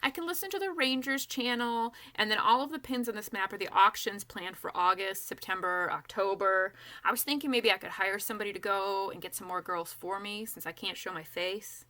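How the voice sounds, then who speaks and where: A genial rich man from a storybook, voiced by a woman narrator. He sounds self-assured and eager to show off. The tone is bright and forward. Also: medium pitch, brisk, few pauses, lightly acted. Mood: proud, eager. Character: rich, genial man proudly showing off his operation.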